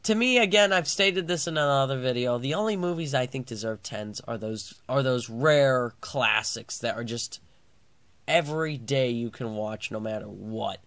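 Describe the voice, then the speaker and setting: A man's voice: medium pitch, moderate pace, a bit bright, no room sound. A man with a clogged nose and sore throat, rambling casually through a movie review vlog with many fillers and restarts.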